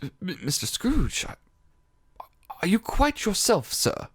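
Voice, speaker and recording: A man's voice: sits high, wildly swooping pitch, slow pace, breathy edge, exaggerated voice. A man playing a meek, anxious clerk in an audio drama, hesitant and deferential. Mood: bewildered. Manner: hesitant, stammering, apologetic.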